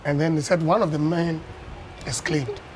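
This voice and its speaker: A Ghanaian man in his fifties, a former dance champion, tells unhurried stories as a guest on a breakfast TV interview, often adding "you know.". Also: on the high side, steady tone, darkish tone, slight background noise. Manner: anecdotal storyteller, unhurried with many "you know"s. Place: television studio.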